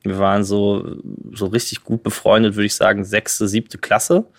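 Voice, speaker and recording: A man, telling stories casually and haltingly as a guest at home. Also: fairly low pitch, little pitch movement, gravelly. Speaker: podcast guest. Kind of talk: casual conversational storytelling. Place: his own apartment.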